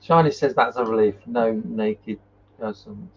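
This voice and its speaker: A man's voice: fairly low pitch, a little slow, creaky. Muffled man hosting a casual live chat. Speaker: host of a paranormal live chat. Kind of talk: casual live chat, reading out viewer comments. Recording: muffled, noisy live-stream audio.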